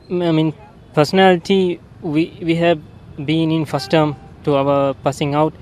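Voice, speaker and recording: Male Maldivian cadet, twenties, fairly high voice, hesitant, faint outdoor noise. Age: 20s. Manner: halting, repeats words. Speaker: military officer cadet being interviewed.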